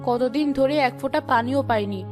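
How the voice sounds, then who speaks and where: A woman voicing a gentle, wise forest fairy in a cartoon fairy tale, speaking earnestly. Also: high voice, a little fast, over music, lightly acted. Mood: anguished.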